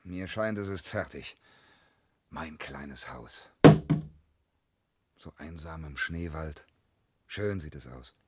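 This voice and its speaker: An actor playing a brash, dreamy young vagabond peasant in a radio play, with a husky voice. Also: male voice, low-pitched, expressive, unhurried, noisy background. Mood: quiet, dreamy. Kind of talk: German radio play acting.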